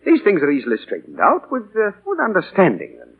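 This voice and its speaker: A man's voice: high-pitched, huge pitch swings, fast talker, breathy edge, full character voice. A man playing a doting, idealistic father and novelist in 1940s radio drama, speaking earnestly and theatrically, hesitant when moved. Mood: gentle, troubled. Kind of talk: 1940s radio drama acting with diary narration. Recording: muffled old 1940 radio broadcast, music behind.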